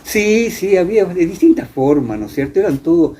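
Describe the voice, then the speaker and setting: An older man with an Argentine accent from Entre Ríos talks through his memories. He speaks haltingly, repeating words as he searches for the next one, in a somewhat dark, soft-edged voice over faint background noise. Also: sits high, very animated. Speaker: interviewee, former radio theatre actor. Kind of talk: reminiscing in a conversational interview.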